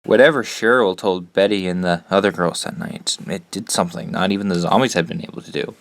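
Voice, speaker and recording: An actor playing a troubled, earnest teenage boy in an audio drama, speaking haltingly. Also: sits low, no room sound. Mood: somber, regretful.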